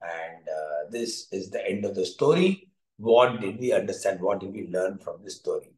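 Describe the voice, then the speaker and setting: A man's voice: sits low, animated, normal speed, a little mellow. A middle-aged man with an Indian accent teaching through improvised storytelling, repeating phrases for emphasis in an encouraging way. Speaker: coach telling a moral story to students. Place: indoor furnished room with curtains, echoey. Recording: echoey room sound.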